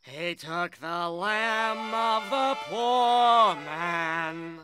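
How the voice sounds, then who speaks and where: A man's voice: high voice, extremely slow. A man voicing a stern, wise old prophet in a cartoon parable, solemn and emphatic. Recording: music under the voice. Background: music.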